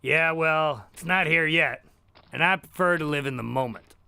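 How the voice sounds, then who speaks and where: An actor playing a sarcastic, irreverent adventurer in an audio drama, with a dry and casual, wisecracking manner. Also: male voice, on the high side, moderate pace, vocal fry, pushed and bright, smooth, no echo, exaggerated voice. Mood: flippant. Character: sarcastic, irreverent male adventurer living in the moment.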